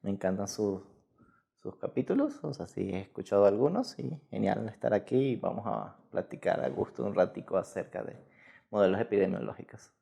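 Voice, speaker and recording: A man's voice: lowish voice, big pitch swings, brisk, gravelly, dark tone, breathy edge. A Venezuelan man in his thirties giving casual, rambling interview answers with frequent self-corrections. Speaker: guest researcher in mathematics.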